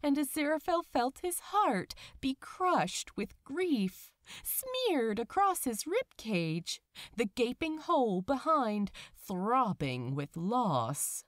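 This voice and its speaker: A woman's voice: fairly high pitch, sing-song, takes it slowly, dry recording, clean studio sound. A woman narrating a story dramatically, with an emotive, theatrical delivery. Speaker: narrator of fan fiction audiobook.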